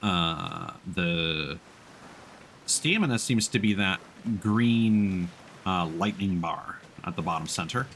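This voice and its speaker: Low-pitched male streamer, casual. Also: lively intonation, slow pace, a bit bright, slight background noise. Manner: hesitant, thinking aloud. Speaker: game streamer. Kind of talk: casual live gameplay commentary.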